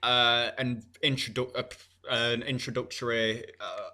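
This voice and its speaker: Teen lad, bright voice, swears a lot, rambles on his podcast. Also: mid-range voice, steady tone, unhurried, a little hiss or hum. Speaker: podcast co-host. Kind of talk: casual chat.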